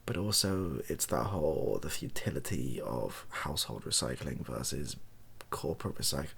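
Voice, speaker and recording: A British man softly rambling through an improvised monologue, breathy and often near a whisper, with a wry, self-deprecating, hesitant manner. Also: very deep voice, lively intonation, normal speed. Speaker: video host.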